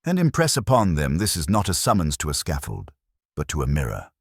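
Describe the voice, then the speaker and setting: A man's voice: extremely low pitch, wildly swooping pitch, a little fast, vocal fry, breathy edge, dry recording, studio quality, heavily acted. A man voicing an imperious, precise detective genius with a formal, pompous, commanding manner in a mystery story.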